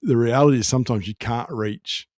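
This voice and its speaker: A middle-aged man co-hosts a casual, bantering podcast. His voice sits at a medium pitch and sounds dry, with no room around it. He is wry and tends to repeat words as he builds a point. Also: brisk, a little mellow, no echo. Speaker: podcast co-host.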